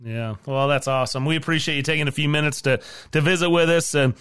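A man's voice: on the high side, steady tone, fast, fairly bright tone, smooth, no echo. Upbeat sports radio host, man. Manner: upbeat, folksy banter.

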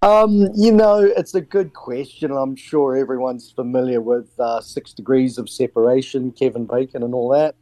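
A man's voice: mid-range voice, moderate pace, hardly any pauses, warm tone, no echo, rough recording. A middle-aged man from New Zealand with a New Zealand accent, a sailing skipper answering interview questions with many ums and restarts. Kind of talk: interview over the air.

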